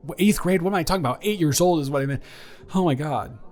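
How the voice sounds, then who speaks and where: A man's voice: a little high, fast, darkish tone, natural voice. A young man playing an awkward, caring college-age ex-boyfriend in an improvised intimate audio roleplay, earnest and stammering as he rambles. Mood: awkward, flustered. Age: young adult.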